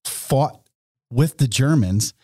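A man's voice: medium pitch, expressive, slow, a little mellow, no room sound, clean studio sound. A man co-hosting a podcast, telling stories in a blunt, easygoing and informal way. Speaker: podcast co-host. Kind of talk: casual podcast conversation.

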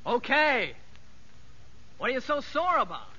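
Very bright-voiced convict. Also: male voice, extremely high pitch, huge pitch swings, unhurried, full character voice. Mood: chipper. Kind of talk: 1950s radio drama acting. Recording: dull vintage radio recording.

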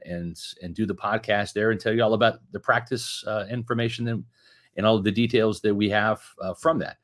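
A fifty-year-old man co-hosts a sports podcast in a loose, chatty way. His voice sits a little low, and he restarts his sentences often as he rambles through the week's plans. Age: 50s. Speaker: podcast co-host. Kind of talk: casual sports podcast chat.

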